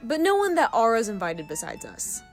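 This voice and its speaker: A woman's voice: a little high, huge pitch swings, normal speed, soft background music. An actress playing a breezy, mischievous young troublemaker in an audio drama, glib and playful in her delivery. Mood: breezy, speculative. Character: breezy, mischievous young troublemaker full of half-baked theories.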